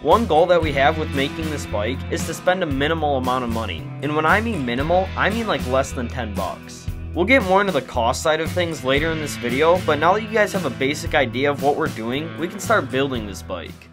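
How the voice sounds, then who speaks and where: A man's voice: a little high, expressive, fairly quick, hardly any pauses, over music. Upbeat teenage boy narrating a DIY vlog. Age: teens. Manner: jokey, upbeat, playful. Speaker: channel host.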